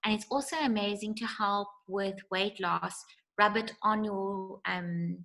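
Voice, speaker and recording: A woman's voice: mid-range voice, steady tone, measured pace, creaky, a bit bright, rough recording. A woman presenting in a bubbly, rambling, casual way.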